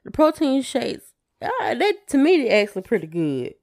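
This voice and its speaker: A woman chatting casually as a podcast co-host in a hesitant, low-key way. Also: wildly swooping pitch, moderate pace, gravelly, a little mellow. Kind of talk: casual chat.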